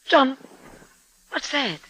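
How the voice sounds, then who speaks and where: A woman's voice: extremely slow, fairly bright tone. An actress playing an anxious, pleading wife and mother with an emotional, theatrical 1950s radio delivery. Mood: frightened, pleading. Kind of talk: 1950s radio drama acting. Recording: slightly noisy 1950s radio recording.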